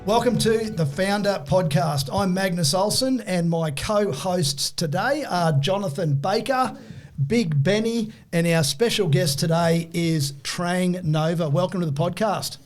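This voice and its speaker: A man's voice: sits high, a little slow, faint music behind. A man hosting a podcast interview in an upbeat, enthusiastic way. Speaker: podcast host.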